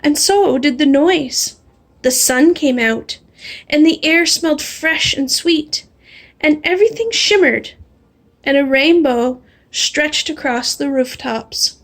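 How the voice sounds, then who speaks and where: A woman reading a children's storybook aloud in an animated, expressive way. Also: high voice. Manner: animated, drawing out refrains slowly. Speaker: storybook reader. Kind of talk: expressive read-aloud of a children's picture book.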